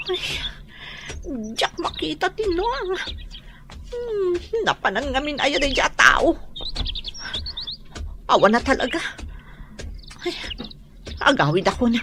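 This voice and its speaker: A woman's voice: medium pitch, a bit bright, a little hiss or hum. A woman acting as an exasperated, worried, nagging wife in a radio drama, with an Ilocano accent. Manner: nagging, sighing, emphatic. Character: exasperated, worried wife of a hard-drinking man.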